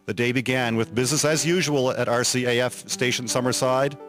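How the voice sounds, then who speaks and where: Guy narrating a history piece, scripted, mid-pitched voice, faint music behind. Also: fairly even intonation, fairly quick, a bit bright.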